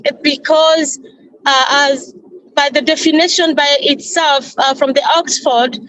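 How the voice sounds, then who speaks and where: Earnest high-voiced woman. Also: a bit bright, slight background noise, rough recording. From Congo. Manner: earnest, halting, repeats and rephrases as she goes. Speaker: panel speaker at a peace day event. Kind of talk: earnest speech at an online panel.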